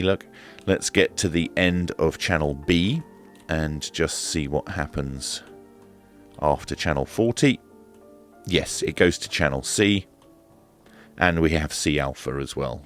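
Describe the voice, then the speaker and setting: A man giving a hands-on equipment review in a measured, matter-of-fact, explanatory way. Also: rumbling low, a bit bright, faint music behind. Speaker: presenter of a radio equipment review. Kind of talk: hands-on demonstration and review commentary.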